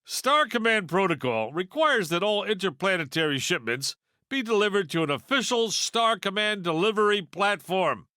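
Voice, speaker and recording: A man's voice: sits high, animated, moderate pace, bright, forceful tone, dry recording, clean studio sound. A man voicing a wisecracking toy piggy bank in a video game, a brash know-it-all with a sardonic, folksy delivery. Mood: explanatory, wisecracking. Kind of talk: video game character voice lines.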